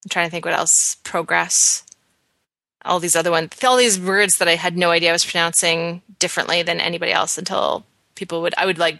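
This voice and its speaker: A woman's voice: fairly low pitch, bright, forceful tone, dry recording. A woman in her thirties from Canada, with a Canadian accent, co-hosting a podcast in casual chat, full of ums and restarts. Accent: Canadian English. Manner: chatty, many ums and restarts. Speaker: podcast co-host.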